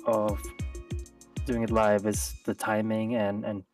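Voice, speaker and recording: Soft-spoken man on a distant mic. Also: lowish voice, level tone, takes it slowly. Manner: hesitant, many restarts, thoughtful. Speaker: guest streamer. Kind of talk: casual live-stream chat. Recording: distant mic with faint music.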